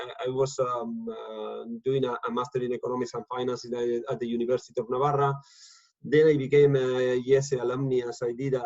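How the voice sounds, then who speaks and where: A man from Argentina, speaking as a guest on a video call from home, halting and repeating words often. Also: mid-range voice, a little mellow. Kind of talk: casual talk on a video call. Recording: dull lo-fi video call audio.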